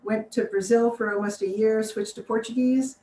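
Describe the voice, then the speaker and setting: A woman's voice: a little high, steady tone, moderate pace, dark tone. An American woman biologist answering interview questions on a video call, chatty, laughing often and acting out what people said. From United States. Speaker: biologist guest. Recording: distant roomy mic with faint noise.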